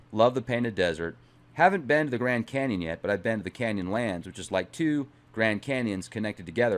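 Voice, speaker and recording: A middle-aged man hosting a channel, speaking in a dramatic, emphatic way as he reads aloud and comments. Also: fairly low pitch. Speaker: channel host. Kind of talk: dramatic reading aloud with casual asides.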